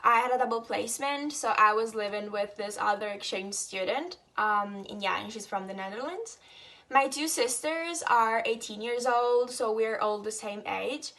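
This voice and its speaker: An eighteen-year-old woman from Italy speaks English with an Italian accent in a somewhat high voice. She explains things in a steady, casual way. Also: normal speed. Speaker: former exchange student. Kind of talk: casual vlog chat.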